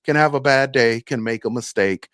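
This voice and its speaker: A man's voice: medium pitch, moderate pace, a bit bright, clear, resonant tone, dry recording. A man who works as a bus operator, hosting and giving emphatic, opinionated commentary on a clip and viewer comments. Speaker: bus operator and channel host.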